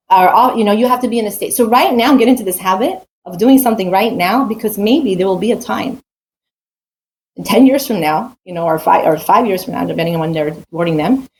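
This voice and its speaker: A woman's voice: mid-range voice, a little mellow, slight background noise. An adult woman teaching a weekly Torah portion in a casual, earnest way, with frequent restarts and rhetorical questions. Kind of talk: casual spoken Torah talk.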